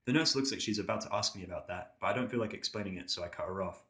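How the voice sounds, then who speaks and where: A man's voice: deep voice, very fast, a bit bright. A man narrating a scripted first-person story as an audio drama reader.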